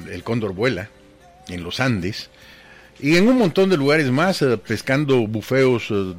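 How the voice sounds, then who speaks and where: A man, a radio DJ guest telling rambling stories about his life and acting out the people in them. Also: on the low side. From Guatemala. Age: 60s. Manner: rambling anecdotal storyteller, voices quoted people. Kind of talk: casual radio interview storytelling.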